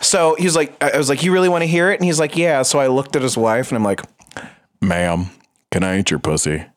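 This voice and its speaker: A man's voice: mid-range voice, a little fast. A man in his twenties from Illinois, telling crude stories in a casual chat. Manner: animated, crude storyteller.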